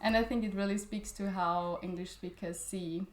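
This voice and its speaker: A woman's voice: medium pitch, steady tone, moderate pace, reverberant, crowd noise. A young woman from Austria whose first language is German, a guest in an interview, talking with many fillers and restarts and laughing often. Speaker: interview guest, journal founder. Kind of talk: conversational interview.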